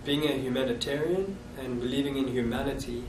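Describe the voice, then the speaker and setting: Reflective South African man, roomy echo. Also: mid-range voice. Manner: reflective, halting with restarts. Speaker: interviewee. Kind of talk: oral history interview. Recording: echoey room, distant mic, noisy.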